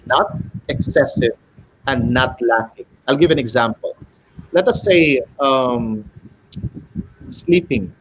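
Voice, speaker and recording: A middle-aged man with a Filipino accent talks like a teacher giving a lecture. He explains each point in a measured way and moves between English and Filipino. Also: medium pitch, lively intonation, a little hiss or hum, rough recording. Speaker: educator guest. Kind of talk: interview answers, explanatory.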